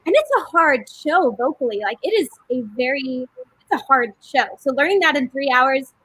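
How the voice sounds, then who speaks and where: A young woman in a cast reunion telling a story in a casual, animated way, with plenty of "like" and "um". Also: sits high, normal speed, dry recording, rough recording. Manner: animated, with many fillers. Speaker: cast member.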